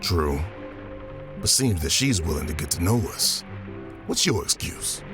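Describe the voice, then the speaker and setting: Guy doing a gruff pirate sailor, deep voice, bossy n dry, audio drama with music under it. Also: big pitch swings, measured pace. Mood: dryly amused. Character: gruff male pirate sailor, commanding, dryly amused.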